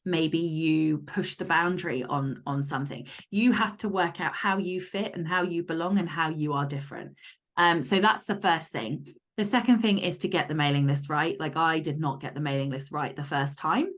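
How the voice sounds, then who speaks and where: A woman's voice: sits low, normal speed. A woman podcast guest giving conversational advice in a blunt, emphatic, sweary way.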